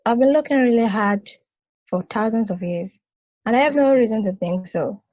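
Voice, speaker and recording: A woman acts an ancient, wry space probe for a stage play reading. The voice is husky and weary, with a dry delivery. The sound is slightly dull and very dry. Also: fairly high pitch, moderate pace, mellow, no echo, natural voice. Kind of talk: stage play table read. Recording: dull, lo-fi close dry recording.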